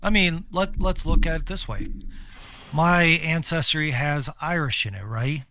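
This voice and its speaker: A middle-aged American man with a slightly high, bright and forceful voice. He talks as a podcast co-host in casual, emphatic, opinionated chat, recorded dry and close. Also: a little slow, dry recording.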